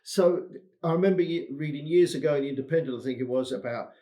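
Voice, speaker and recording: A man's voice: on the high side, fast, dark tone. A middle-aged man with an English accent, a guest chatting casually and self-deprecatingly, often repeating words as he starts a sentence. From England. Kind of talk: casual conversation.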